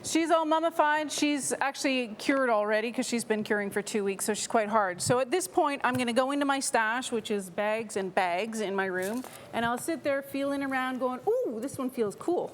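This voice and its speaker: A woman's voice: a little high, moderate pace, few pauses, slight background noise. A woman artist casually and playfully talking through her craft step by step. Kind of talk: step-by-step demonstration chat.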